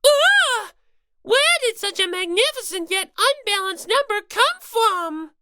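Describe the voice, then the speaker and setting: A woman's voice: extremely high pitch, expressive, takes it slowly, very noisy, voice pushed up, heavily acted. A woman voicing a cute, naive magical-girl rabbit mascot for an audiobook, plaintive and easily hurt. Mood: flustered, confused. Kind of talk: audiobook character voice.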